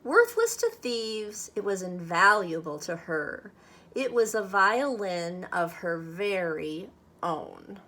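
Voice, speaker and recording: A woman reading a children's picture book aloud expressively, with measured, dramatic phrasing meant for young listeners. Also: mid-range voice, sing-song, sharp tone, slight background noise. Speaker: storybook reader.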